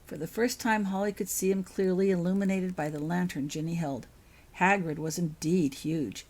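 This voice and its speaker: A woman reading her own fiction aloud as an expressive storyteller. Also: on the low side, moderate pace. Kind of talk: audiobook-style narration of fan fiction.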